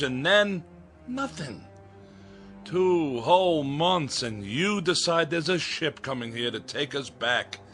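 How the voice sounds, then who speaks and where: A man's voice: on the high side, fairly bright tone. A man acting in a radio drama as a bitter, defiant doubter, sarcastic and challenging.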